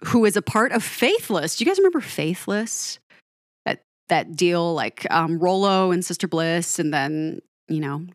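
A woman's voice: on the low side, fairly bright tone, no room sound, studio quality. A woman giving an enthusiastic, chatty breakdown of a song to her viewers, laughing often. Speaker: music reaction commentator.

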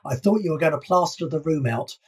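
A man's voice: on the high side, animated, fairly quick, breathy edge. A man co-hosting a podcast in casual discussion, reading out excerpts from an old radio script. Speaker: podcast co-host.

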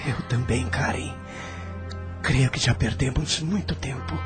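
A man's voice: medium pitch, measured pace, over loud music, close to an everyday voice. An actor playing a smitten, nervous young immigrant coachman in a radio drama, speaking earnestly and deferentially. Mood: tender.

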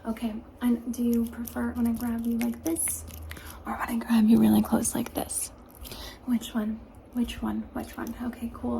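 A woman whispers through an ASMR session. Her voice sits a little high, with a grainy edge of fry, and she repeats each question slowly. A steady hiss and small clicks sit under her voice at the close mic. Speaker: ASMR creator. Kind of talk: ASMR roleplay. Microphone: close camera mic.

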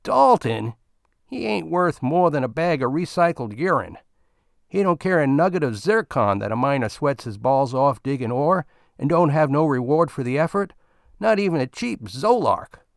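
A man's voice: fairly high pitch, very animated, normal speed, vocal fry, no echo, clean studio sound, pitched above the performer's own voice. A man voicing a crude, talkative male space miner with a folksy, ungrammatical drawl. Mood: griping.

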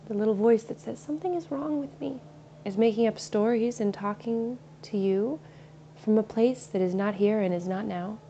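A woman leads a guided meditation in a mellow, soothing voice, speaking softly and unhurriedly. The recording is a little dull, with a faint hiss of ambient noise behind her. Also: mid-range voice, steady tone. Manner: slow, soothing, soft-spoken.

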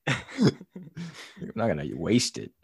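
A young man with a deep voice co-hosting a casual podcast, laughing often as he jokes around. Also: very slow, vocal fry, breathy edge, dry recording, clean studio sound. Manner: laughs often, relaxed and joking. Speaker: podcast co-host. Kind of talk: casual chat.

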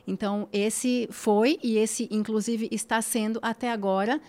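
A woman's voice: on the high side, steady tone, unhurried, darkish tone. A woman, a podcast guest talking casually and emphatically, repeating phrases for stress and checking in with tag questions. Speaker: guest influencer.

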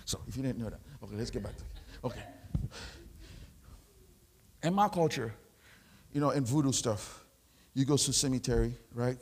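A Haitian man with a Haitian French accent, a pastor preaching a sermon, rising into loud shouted exhortations. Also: medium pitch, moderate pace, long pauses, slight background noise. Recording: long hall reverb.

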